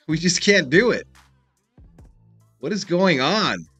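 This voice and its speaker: A man's voice: a little high, very animated, takes it slowly, a bit bright, slightly rough. Casual male sports streamer, music behind. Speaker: live stream sports host. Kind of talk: casual fan play-by-play and chat reading.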